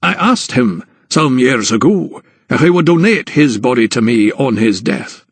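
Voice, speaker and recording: A man voicing a gruff, impatient older Scottish anatomist with a curt, sardonic manner in an audiobook. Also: medium pitch, expressive, a little slow, no echo. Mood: mocking.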